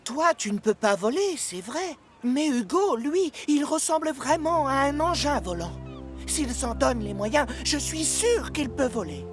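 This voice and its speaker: Une femme qui double un petit bateau masculin naïf et encourageant, au ton sincère, dans un dessin animé en français. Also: female voice, high-pitched, lively intonation, measured pace, over music. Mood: encouraging. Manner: earnest, encouraging. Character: small male boat, encouraging and naive. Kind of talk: French-dubbed children's cartoon.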